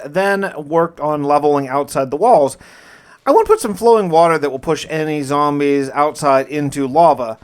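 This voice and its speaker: A man narrating his own survival game playthrough in a conversational, journal-like storytelling way, with light roleplay. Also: on the high side. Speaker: gaming video creator narrating a survival challenge.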